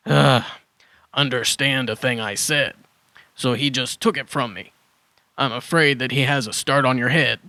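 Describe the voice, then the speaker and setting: A man's voice: mid-range voice, bright, forceful tone, dry recording. A man playing a flustered dinosaur hermit who muddles his words in a children's audio drama. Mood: apologetic. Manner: flustered word-swapping, correcting himself.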